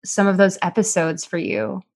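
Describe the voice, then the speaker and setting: A woman's voice: medium pitch, fairly even intonation, moderate pace, creaky, a little mellow. A woman hosts a podcast in a loose, chatty way. She fills her sentences with "like" and keeps the tone casual and conversational. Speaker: podcast host.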